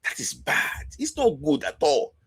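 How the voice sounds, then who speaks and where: A man hosting a football talk show, commenting casually in an animated, exasperated way with laughs between points. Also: on the high side, measured pace, husky.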